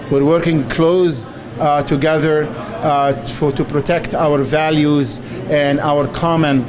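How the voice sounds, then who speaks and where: A man speaking as a community voice in an interview, halting with many fillers. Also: a little high. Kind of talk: interview statement. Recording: noisy crowd around.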